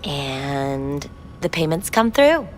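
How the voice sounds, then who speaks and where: Poised receptionist, swooping intonation. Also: female voice, low-pitched, big pitch swings, slight background noise, full character voice. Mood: courteous. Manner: formal, measured, smoothly sales-like. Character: poised, courteous female receptionist at a futuristic club. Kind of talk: video game dialogue acting.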